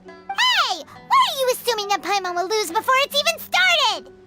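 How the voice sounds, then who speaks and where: A woman voicing a tiny childlike fairy companion in a video game, squeaky, excitable and easily indignant. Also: normal speed, soft background music, heavily acted. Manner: refers to self in third person, exclamatory. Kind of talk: video game character acting.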